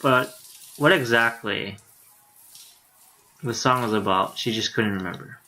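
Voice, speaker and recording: A man's voice: mid-range voice, a little slow, bright, forceful tone, rough recording. A tired male streamer reading a visual novel aloud in a laid-back way, with casual asides. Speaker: live streamer.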